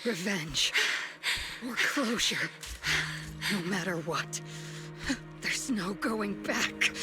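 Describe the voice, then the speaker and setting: Slow, commanding stern colonel. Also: female voice, mid-range voice, lively intonation, music in the background. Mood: grim, bitter. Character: stern, proud, world-weary female colonel, veteran mentor. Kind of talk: dramatic English video game voice acting.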